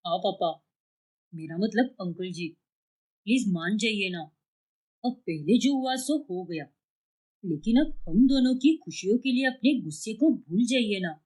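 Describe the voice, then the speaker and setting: A woman's voice: mid-range voice, expressive, a little slow, a little mellow, voice pushed down. A woman voicing a meek, earnest young man in love, pleading in a cartoon story. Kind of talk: cartoon moral story dialogue.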